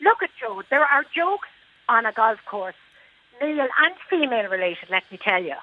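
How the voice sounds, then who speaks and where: A woman's voice: on the high side, sing-song, normal speed. An Irish woman talks chattily on a radio phone-in and stresses her points again and again. Her voice comes through a dull, muffled phone line. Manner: chatty, emphatic, repeats herself. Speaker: golf club lady captain, caller.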